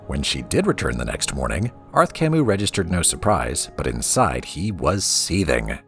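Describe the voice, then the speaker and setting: Deep guy reading a fantasy story out loud, super swoopy and dramatic, bit of music behind. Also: sing-song, normal speed, gravelly. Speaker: lore reader for a gaming let's play.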